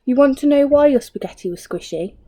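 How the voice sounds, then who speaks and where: A woman's voice: sits high, lively intonation, a little fast, warm tone, voice pushed up. A woman voicing a spiteful, dramatic old wife in a storybook, exclaiming and gloating. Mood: smug. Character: spiteful, dramatic old wife who plays nasty tricks.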